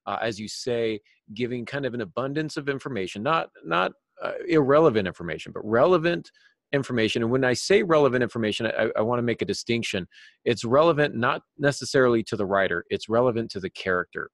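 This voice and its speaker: A man hosting a podcast discussion on writing craft, explaining in a halting way with wry asides. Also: mid-range voice, expressive, vocal fry, no echo, studio quality. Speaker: podcast host and writing teacher.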